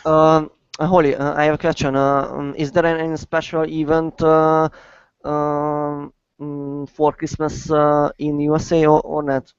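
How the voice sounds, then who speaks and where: A male English student with a Hungarian accent talks haltingly, repeating words between many fillers. His voice is clear and fairly monotone. The recording is dry and a bit dull, from an online call. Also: on the high side, no room sound. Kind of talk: halting lesson conversation. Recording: slightly dull, compressed online audio.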